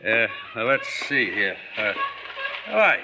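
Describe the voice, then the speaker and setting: A man's voice: lowish voice, sing-song, slow pace, heavily acted. An actor playing a nervous, guilty neighbor in old-time radio suspense, stammering and evasive as he talks. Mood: coaxing, uneasy. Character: shifty, nervous adult neighbor man hiding a guilty secret. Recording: vintage radio recording, light noise and clicks.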